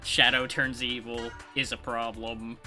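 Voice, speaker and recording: A man's voice: mid-range voice, level tone, a little slow, bright, forceful tone. A man livestreaming a game with casual, dry, sardonic commentary. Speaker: game streamer. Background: game music.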